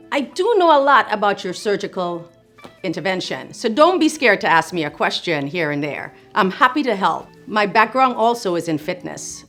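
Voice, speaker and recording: Middle-aged physician assistant from Trinidad and Tobago, low-pitched, reflective and encouraging, over faint music. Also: female voice, fairly bright tone. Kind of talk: speaking to camera about her work.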